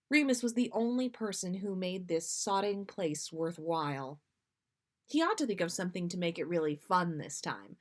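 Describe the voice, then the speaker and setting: A woman hosting a livestream, reading fan fiction aloud with expressive delivery. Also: medium pitch. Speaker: podcast host reading listener fan fiction. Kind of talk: storytelling read aloud on a livestream.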